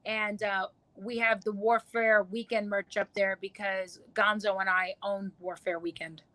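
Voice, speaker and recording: A woman with a New York accent, a guest on a livestream, talking quickly and chatting freely. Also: medium pitch, level tone, bright, forceful tone, dry recording, poor audio. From New York City. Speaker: guest, miniature painter. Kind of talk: casual livestream chat.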